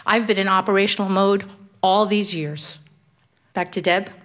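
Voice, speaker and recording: A woman's voice: mid-range voice, fairly even intonation, normal speed, a bit bright. A woman reading a reflective personal essay in an earnest, emotional way.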